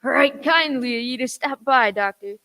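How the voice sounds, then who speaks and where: A woman's voice: high voice, moderate pace, sharp tone. A woman playing a sly, swaggering pirate ringleader in a radio play, with wheedling sailor talk. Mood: wry.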